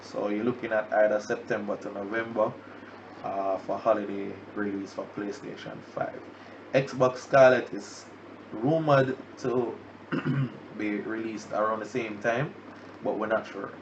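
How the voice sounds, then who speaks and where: A Jamaican man hosts a casual livestream podcast while down with the flu, so his voice comes out stuffy and nasal. His low voice is rough and gravelly, and he rambles with lots of fillers and restarts. The audio is muffled and lo-fi, with steady noise underneath. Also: unhurried. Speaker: podcast host. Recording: dull lo-fi stream audio with background noise.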